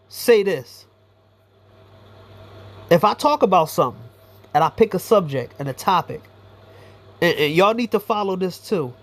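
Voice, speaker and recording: A man giving a blunt, profane, rambling commentary monologue as a YouTuber. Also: high voice.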